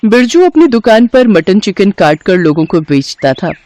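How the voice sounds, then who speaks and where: A woman's voice: medium pitch, very animated, normal speed, warm tone. A woman narrating a scripted story as the storyteller.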